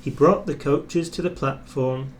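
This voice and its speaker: A man narrating a children's story in an expressive, scripted reading style. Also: a little high, a little slow, a little hiss or hum. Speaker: storybook reader.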